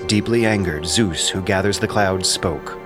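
A man narrating a scripted ancient epic as a measured, solemn storyteller. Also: sits low. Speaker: narrator and adapter. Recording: music clearly behind the voice.

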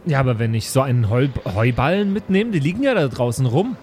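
Man playing a naive, lively-pitched trusting fellow. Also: a little hiss or hum, close to an everyday voice. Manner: halting, earnest.

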